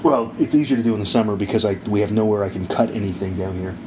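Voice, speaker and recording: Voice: low voice, fast talker. A speaker in casual conversation, explaining things confidently and swearing offhandedly. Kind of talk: casual chat. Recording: echoey room with background noise.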